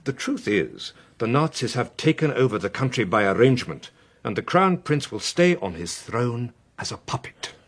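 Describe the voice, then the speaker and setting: Radio drama: government investigator, slightly rough voice, expressive pitch, methodical, polite, in command. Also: male voice, moderate pace. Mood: grave, certain. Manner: methodical, courteous, authoritative. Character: measured, probing male British government official.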